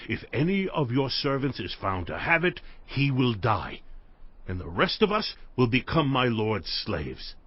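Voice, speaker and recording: A man's voice: mid-range voice, very animated, a little slow, breathy edge, dry recording. An actor playing an earnest ancient servant indignantly protesting his innocence in a dramatized scripture recording. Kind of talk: dramatized scripture audio acting. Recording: dry, slightly dull studio recording.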